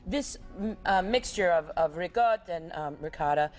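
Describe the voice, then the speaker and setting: A woman's voice: lowish voice, animated, moderate pace, music in the background. A woman guest cook on a TV morning show, talking casually with lots of ums and easy laughs. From Irish background. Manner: rambling, many ums, laughs easily. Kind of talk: casual cooking demo chat.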